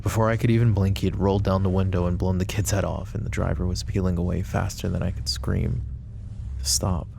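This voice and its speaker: A man playing a possessive, protective mafia boss in an ASMR roleplay drama, with a deep, gravelly voice and a low, measured, quietly menacing way of talking. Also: little pitch movement, background noise. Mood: bitter.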